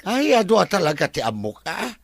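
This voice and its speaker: A man playing a deferential, excitable farm worker in a radio drama, with drawn-out folksy exclamations. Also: high voice, no echo, clean studio sound, exaggerated voice. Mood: eager, respectful.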